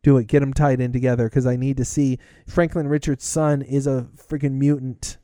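Excitable podcast host, smooth mellow voice. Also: male voice, medium pitch, moderate pace, no echo, studio quality. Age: older than co-host, roughly 30s. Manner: excitable, talks in bursts with many restarts. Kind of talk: casual chat.

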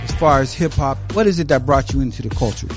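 A man co-hosting an internet radio show, interviewing a guest in a fast, casual, hyped-up way. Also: medium pitch, animated, faint music behind. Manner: fast, hype, repeats welcomes.

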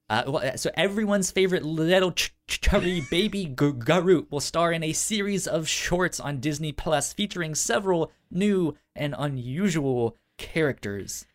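A man chats casually as a podcast host, with lively swings in pitch. He stutters often, repeating sounds as he goes, and keeps things informal and slangy. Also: measured pace, few pauses. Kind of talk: casual pop-culture podcast chat.

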